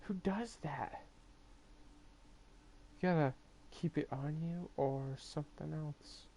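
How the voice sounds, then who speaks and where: A woman's voice: low-pitched, slow, slight background noise. A woman giving casual, amused gameplay commentary in offhand slangy remarks. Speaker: gamer. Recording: loud game music behind the voice.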